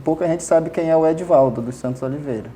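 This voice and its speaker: A man's voice: on the high side, quick pace, dark tone, slight background noise. Hesitant man. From Amazonas, Brazil. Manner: hesitant, many fillers and restarts, frequent tag questions. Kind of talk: casual explanatory interview answers.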